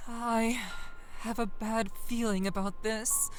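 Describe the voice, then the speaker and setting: A woman's voice: a little high, a little hiss or hum. A woman playing a frightened but determined young woman in an audio horror drama.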